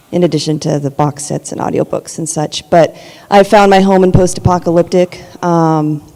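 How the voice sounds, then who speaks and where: A middle-aged woman with a low, warm, mellow voice speaks earnestly on a panel, often pausing on ums. Her voice rings with the long reverb of a large hall. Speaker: panelist author. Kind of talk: conference panel talk. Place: conference panel room.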